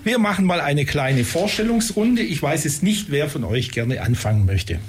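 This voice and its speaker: A man's voice: on the high side, sing-song, normal speed, a little hiss or hum. A man hosting a community radio interview in a studio, chatting easily and breaking in with follow-up questions. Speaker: radio host.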